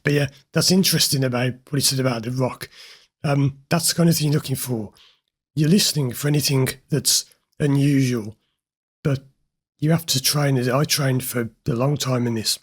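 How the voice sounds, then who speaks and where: A husky, slightly hoarse man talking straight to his viewers. He explains what he hears in a client's words, direct and a little amused. His voice sits at an ordinary middle pitch for a man. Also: fairly even intonation, normal speed. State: slightly hoarse from recording many videos. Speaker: host, therapist analysing a recorded session. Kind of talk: commentary over an interview clip.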